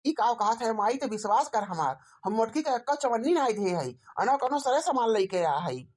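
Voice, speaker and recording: A woman's voice: a little high, lively intonation, moderate pace, a little mellow. A woman with an Awadhi accent voicing a pleading, put-upon grown village son and husband in a cartoon comedy. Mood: defensive pleading. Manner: complaining, self-justifying.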